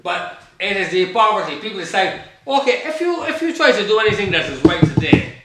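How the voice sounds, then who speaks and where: A man's voice: high voice, sing-song, a little fast, fairly bright tone. An older man from Barbados, a guest author, talking in a rambling, emphatic way that repeats points and trails off. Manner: emphatic, repeats himself, trails off mid-thought. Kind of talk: rambling conversational storytelling. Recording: very echoey room.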